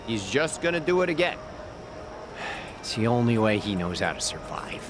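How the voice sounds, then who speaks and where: A man's voice: on the low side, very animated, normal speed, noisy background. An actor playing a cynical, wry, streetwise local man in an English-dubbed video game, chatty and given to sardonic explanations.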